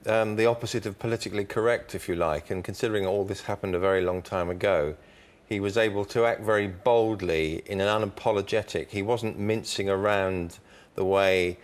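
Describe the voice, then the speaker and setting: A man on a discussion panel giving opinions on a book in articulate, deliberate, emphatic phrases. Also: on the low side, fairly even intonation.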